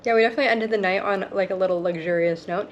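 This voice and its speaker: A woman's voice: medium pitch, rapid-fire, highs cut off. A woman chatting casually to a vlog, informal and full of gushing emphasis. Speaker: travel vlogger. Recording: very noisy travel vlog audio.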